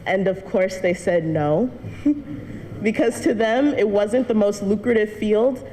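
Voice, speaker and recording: A woman's voice: mid-range voice, slow pace, warm tone. A young woman giving an earnest personal testimony speech to a church congregation, telling her story with light laughs. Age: young adult. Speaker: student speaker addressing a church congregation. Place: church hall. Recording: long church reverb, faint noise.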